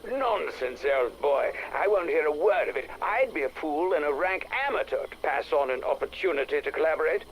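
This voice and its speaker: An actor playing a jaunty, pompous gentleman adventurer with an upper-class English accent, eager and boastful, in a game audio log. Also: on the high side, animated, fairly quick, hardly any pauses, slight background noise, poor audio. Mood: jovial, eager.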